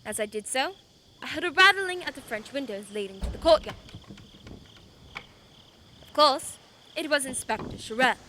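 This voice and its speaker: Woman doing cool headed lady detective for a radio play, high bright voice, bit of background noise. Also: expressive, moderate pace, long pauses. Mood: composed, recounting. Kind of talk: radio play acting and narration.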